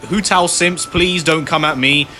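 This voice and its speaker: A man's voice: a little high, steady tone, unhurried, sharp tone, a little hiss or hum. A man giving informal, rambling commentary as the host of a game playthrough. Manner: informal, rambling, often asks "you know". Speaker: gaming video host. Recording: game music underneath.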